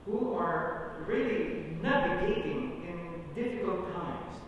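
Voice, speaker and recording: Man, pastor, solemn pleading prayer, halting and slow, distant in a large reverberant church. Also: animated, lo-fi sound. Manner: earnest, pleading, halting phrases. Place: church sanctuary, at the pulpit. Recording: echoey church hall from far off, rumbly noise.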